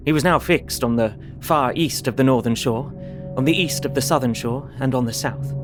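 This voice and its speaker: Victorian gentleman, played by a man, British accent, earnest, formal, precise, mid-range voice, audio drama journal, soft background music. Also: mid-range voice, natural voice.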